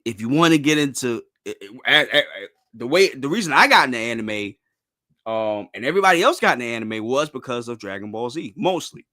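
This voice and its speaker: A man in his thirties talks loosely about anime as a show host, wandering off and restarting his thoughts. His pitch jumps around a lot and his tone is bright and forward, with a relaxed, chatty way of talking. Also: a little fast. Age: 30s. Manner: rambling, restarts, says "bro". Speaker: podcast host. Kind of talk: casual anime discussion.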